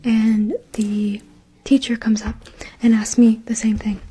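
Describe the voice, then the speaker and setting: A woman telling a personal story in a soft whisper, chatty and casual with many "like"s and "um"s. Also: on the high side, little pitch movement, slow pace, slightly rough, rough recording. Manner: whispering softly throughout; chatty, lots of "like" and "um".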